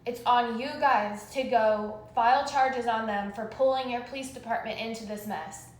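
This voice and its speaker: A woman's voice: on the high side, steady tone, normal speed, a little hiss or hum. Insistent woman caller in an echoey recording. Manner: insistent, detailed explaining. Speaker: caller making a complaint to police. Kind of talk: phone call, recounting a complaint. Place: recorded phone call, echoey. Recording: echoey phone call recording.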